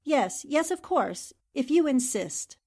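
Lady playing a plucky young reporter, high lively voice, pushy and pleading, old radio drama. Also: slow, full character voice. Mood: indignant, insistent. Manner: insistent, pleading. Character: pushy, spirited young newspaperwoman. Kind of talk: 1940s radio drama acting.

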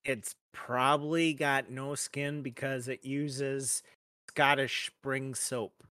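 Slow-talking man with a bright, dry voice. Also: mid-range voice, level tone, no room sound. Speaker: podcast co-host. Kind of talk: casual podcast banter.

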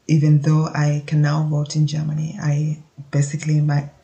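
A man with a Kenyan accent explaining things informally as a solo podcast host, haltingly, as if thinking aloud. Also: a little high, completely flat delivery, dark tone, highs cut off.

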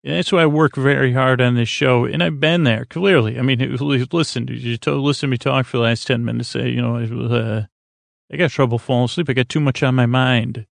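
A man hosting a bedtime sleep podcast in a creaky, soothing voice, rambling haltingly with long pauses and meandering tangents. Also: medium pitch, fairly even intonation, dry recording. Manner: lulling, soothing. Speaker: podcast host.

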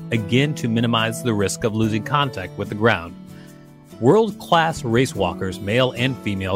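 A man hosting and reading an encyclopedia article aloud in a scripted narration style, with brief casual asides. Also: on the low side. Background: guitar music.

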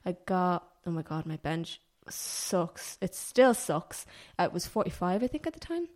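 A woman in her twenties talks casually as a podcast guest, her voice sitting a little low. She rambles and corrects herself as she goes, easy and unpolished. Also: sing-song, normal speed, a little mellow. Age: 20s. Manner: chatty, rambling, self-correcting with laughs. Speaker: podcast guest, personal trainer. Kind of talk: casual chat.

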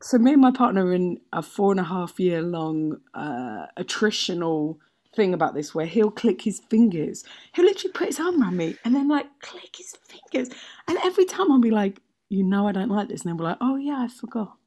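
A woman's voice: mid-range voice, very animated, brisk, darkish tone. Emphatic woman swapping comic stories. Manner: emphatic, sweary, self-mocking. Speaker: podcast guest. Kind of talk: casual comic anecdotes.

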